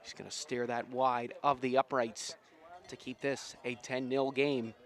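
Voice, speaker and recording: A man's voice: medium pitch, flat delivery, measured pace, a bit bright. A man calling live rugby play-by-play outdoors in an enthusiastic, folksy, slangy way. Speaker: play-by-play sports commentator. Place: outdoors at a sports field.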